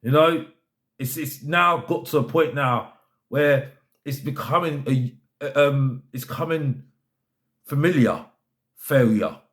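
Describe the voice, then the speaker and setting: A man's voice: on the high side, slow, a bit bright. A man giving an opinionated football fan rant, exasperated and emphatic, repeating his phrases to drive points home. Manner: exasperated, fed up.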